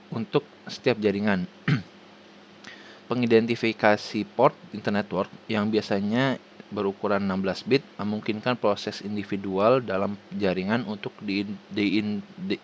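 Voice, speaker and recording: A man with an Indonesian accent reading a formal scripted presentation haltingly, stumbling over words and restarting them. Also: on the low side, slight background noise. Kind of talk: scripted presentation read aloud.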